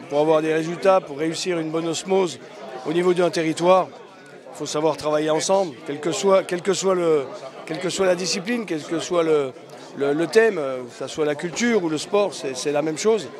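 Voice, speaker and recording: Male participant, fairly high pitch, halting repetitive interview answer, crowd behind. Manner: halting, repeats phrases. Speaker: event participant being interviewed. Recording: clear crowd chatter behind.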